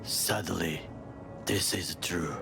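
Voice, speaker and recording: A deep, creaky-voiced older bodyguard with a Japanese accent, solemn and proud. He chooses formal words and delivers them very slowly, as in a cinematic game, with loud music underneath. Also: exaggerated voice. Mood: reflective. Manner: formal, measured, deliberate phrasing. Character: solemn, proud older male former corporate bodyguard and soldier. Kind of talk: cinematic video game acting.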